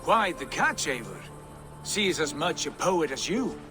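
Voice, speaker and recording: Viking nobleman, cool menace, sardonic, theatrical, higher male voice, video game drama, soft music behind. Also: very animated, a little slow. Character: smooth, grandiose, coolly menacing Viking lord.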